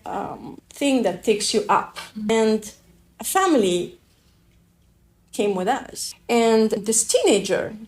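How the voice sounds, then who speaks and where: A middle-aged woman from Afghanistan with a French accent, telling her own story in a reflective, halting way with many restarts. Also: on the high side, sing-song, darkish tone. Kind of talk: reflective personal testimony.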